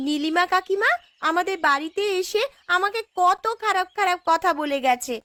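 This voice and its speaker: A woman's voice: very high-pitched, normal speed, bright, forceful tone, dry recording. A woman voicing a poor young village granddaughter with a girlish, aggrieved manner in a fairy-tale cartoon. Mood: plaintive complaint. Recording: background music throughout.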